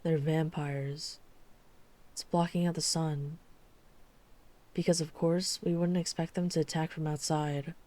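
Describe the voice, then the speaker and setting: A woman acting as a tormented female vampire hunter in an intimate roleplay drama. Her voice is lowish and mellow, and she speaks very slowly, with halting, dramatic pauses. She sounds burdened by guilt and a growing darkness. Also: level tone. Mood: grave, tense. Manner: slow, halting, dramatic pauses, breathy sighs.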